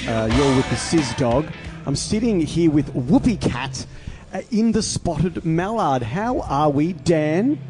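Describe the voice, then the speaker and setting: Chatty Australian podcast host. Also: male voice, on the high side, animated, takes it slowly, music in the background. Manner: chatty, laughs often, leading questions. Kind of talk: casual interview chat.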